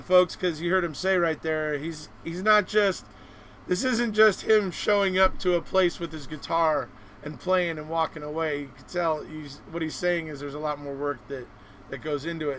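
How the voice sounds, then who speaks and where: A man's voice: fairly high pitch, steady tone, moderate pace, a bit bright, a little hiss or hum. A man hosting a live online show, chatting casually in an encouraging, rambling way. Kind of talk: casual interview chat.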